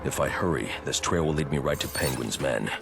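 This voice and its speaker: A man's voice: extremely low pitch, expressive, fairly quick, gravelly, noisy background, full character voice. A man playing a grim masked vigilante detective in a video game, terse, stern and brooding. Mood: grim, determined.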